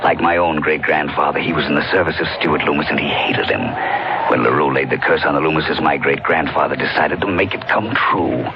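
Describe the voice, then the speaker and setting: An actor in 1940s radio style playing a murderous manservant with a grudge, deferential yet menacing, on an old radio recording. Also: male voice, a bass voice, fairly quick. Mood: cold menace. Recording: muffled old radio recording, loud organ music.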